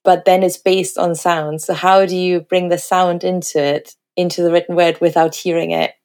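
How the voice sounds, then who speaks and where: A woman's voice: on the low side, fairly even intonation, normal speed, a little mellow. A woman interviewer talking conversationally and discursively, thinking aloud as she builds toward her questions.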